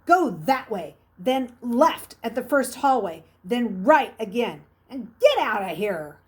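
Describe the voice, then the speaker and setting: A woman's voice: a little high, very animated, slow pace, darkish tone. Storybook role: officious teenage boy monitor, impatient, suspicious, commanding, voiced by a woman. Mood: exasperated, bossy. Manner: barking orders, impatient. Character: suspicious, exasperated, bossy schoolboy hall monitor.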